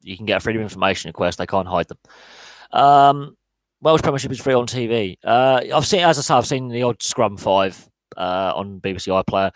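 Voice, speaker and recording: Guy ranting on a live podcast, lowish voice, dead dry sound, lots of ums. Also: expressive, brisk, dry recording, rough recording. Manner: opinionated, answers chat live, many fillers and repeated words. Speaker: podcast host.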